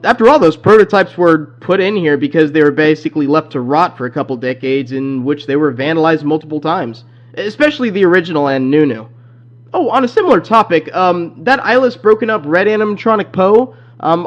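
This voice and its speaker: A man's voice: on the high side, lightly acted. A man playing an awkward, chatty company phone caller briefing a night guard in a horror game, rambling hesitantly. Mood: chatty, dismissive. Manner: hesitant, rambling, full of ums. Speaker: phone guide character in fan horror game. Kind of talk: rambling phone-message monologue. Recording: muffled lo-fi phone-message audio.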